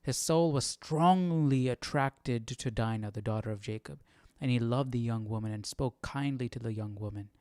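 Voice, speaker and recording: A man's voice: medium pitch, normal speed, darkish tone, no room sound, studio quality. A man hosting a live Bible study, reading aloud and musing informally in casual commentary. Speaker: host of a Bible study stream.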